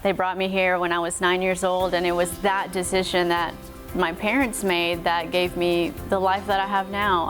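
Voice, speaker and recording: A woman in her thirties from Mexico with a light Texas accent, a morning news anchor talking earnestly and gratefully about her life. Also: on the low side. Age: 30s. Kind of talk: personal interview talk. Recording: music playing underneath.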